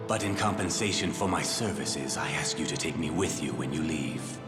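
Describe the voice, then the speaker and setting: A resentful undead skeleton in a fantasy game, rumbling extremely low. The manner is brooding and ominous, with old-fashioned phrasing, heard in a reverberant space under loud music. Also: male voice, normal speed. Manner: archaic, brooding, ominous speech. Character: resentful walking skeleton wandering the land of the dead. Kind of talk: dramatic video game voice acting.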